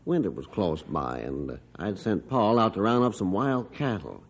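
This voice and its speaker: A man's voice: on the low side, animated, moderate pace. An actor playing a middle-aged rancher father who tells his story in a folksy, matter-of-fact way in an old radio drama. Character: rancher father Mister Jorgensen, gruff storyteller. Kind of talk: 1950s radio drama narration.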